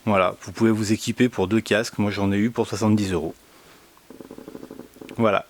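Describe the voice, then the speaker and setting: Guy with a lowish voice doing a casual product review, kinda hesitant, lots of pauses. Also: fairly even intonation, slight background noise. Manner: hesitant, halting delivery with many pauses. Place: indoors.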